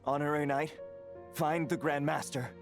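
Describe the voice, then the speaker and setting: Brief: male actor as loyal soldier knight, slightly high voice, dry teasing game delivery, clear music underneath. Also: steady tone, moderate pace, natural voice. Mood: dry, urgent. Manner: dry, blunt. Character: gruffly teasing, loyal rank-and-file knight. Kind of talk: video game voice acting.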